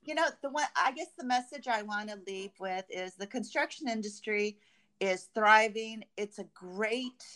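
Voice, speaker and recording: A woman speaking as an interview guest about company work, conversational and enthusiastic, often halting as she searches for words. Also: medium pitch, sharp tone. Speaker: guest, construction company representative.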